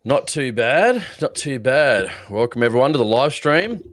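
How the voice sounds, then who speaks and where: A man's voice: medium pitch, big pitch swings, moderate pace, fairly bright tone, no echo, studio quality. An Australian man co-hosting a casual live stream. He talks bluntly and sarcastically and swears freely. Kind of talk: casual opinionated chat.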